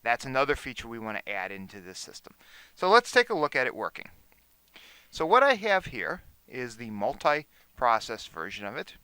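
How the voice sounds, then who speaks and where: Man instructor with a bright, lively voice. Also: mid-range voice, moderate pace, clear, resonant tone, no echo. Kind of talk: explanatory software demonstration.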